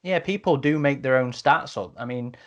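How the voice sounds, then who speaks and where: A man in a casual podcast discussion, talking in a halting, piecemeal way. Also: medium pitch, a bit bright, highs cut off. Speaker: podcast panellist.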